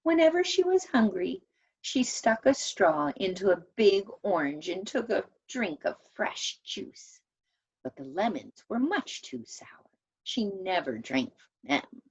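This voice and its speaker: A woman reading a children's story aloud as an expressive storyteller. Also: medium pitch, a little slow, husky, lo-fi sound.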